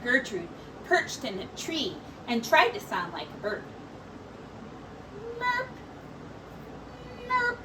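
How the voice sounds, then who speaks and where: A woman teacher reading a picture book aloud in slow, expressive storytelling. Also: a little high, distant mic, noisy background.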